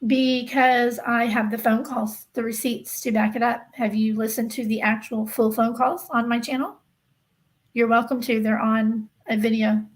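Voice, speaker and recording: A woman's voice: on the high side, poor audio. A woman hosting a casual livestream, talking emphatically and insistently to her viewers and repeating herself to drive points home. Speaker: livestream host of a true-crime gossip channel.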